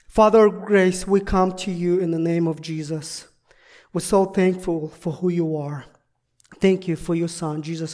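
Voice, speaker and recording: A guest preacher whose first language is Russian, giving a sermon in halting, deliberate second-language speech. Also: mid-range voice, darkish tone. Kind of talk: sermon with prayer and scripture reading.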